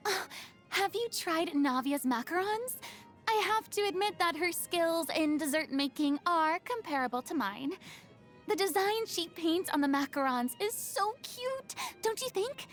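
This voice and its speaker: A proud young former star of the stage in a video game. Her voice sits high, and she speaks theatrically, vain and boastful yet easily flustered and vulnerable underneath. Faint music plays behind her. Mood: delighted, envious. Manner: theatrical, boastful, prone to sighs and flustered hesitation. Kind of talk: video game character monologue.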